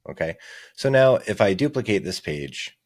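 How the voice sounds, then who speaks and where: A man's voice: lowish voice, expressive, moderate pace, no echo. A man narrating a casual screencast tutorial as the presenter, explaining things step by step. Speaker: software demo presenter.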